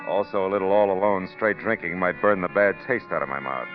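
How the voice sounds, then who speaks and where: Actor as deep-voiced hard-boiled radio private eye. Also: male voice, fairly quick, natural voice. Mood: dry narration. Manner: wry, clipped, wisecracking. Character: hard-boiled sardonic male private detective, cynical and unflappable. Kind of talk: 1940s radio drama acting, with noir first-person narration. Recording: dull vintage radio recording with loud music.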